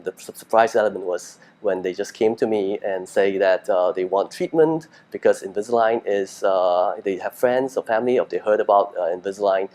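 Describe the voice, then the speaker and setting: A middle-aged man from Singapore, a dentist, answering interview questions in a halting way, with many fillers and restarts. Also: fairly low pitch, a little mellow.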